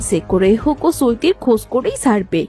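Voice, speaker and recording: A woman's voice: on the high side, very animated, fairly quick, mellow. Woman acting guarded village sister-in-law. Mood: anxious, confiding. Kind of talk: dramatized Bengali cartoon story dialogue. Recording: music behind the voice.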